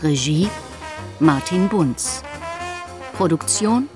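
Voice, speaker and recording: Female radio announcer, lowish pitch, very unhurried credit reading over prominent music. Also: animated. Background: brass music.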